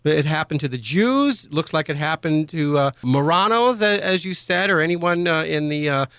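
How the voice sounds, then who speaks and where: A man's voice: fairly high pitch, expressive, normal speed, a bit bright, clear, resonant tone, no room sound, clean studio sound. A man hosting a radio talk show, interviewing a guest in an earnest, opinionated way. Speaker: radio host.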